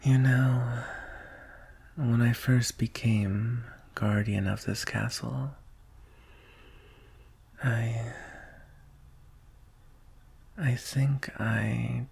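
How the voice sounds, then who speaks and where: A man playing a devoted, solemn male dragon guard in an intimate ASMR roleplay, speaking in a hushed, wistful, husky voice that often drops to a whisper. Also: mid-range voice, steady tone, heavily acted. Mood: solemn, reflective. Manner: hushed, deliberate, with long pauses.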